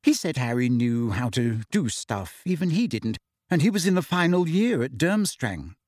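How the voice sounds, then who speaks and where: A man's voice: mid-range voice, sing-song, normal speed, darkish tone, no echo. A man voicing an earnest, bossy teenage girl who lectures her friends. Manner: eager, lecturing.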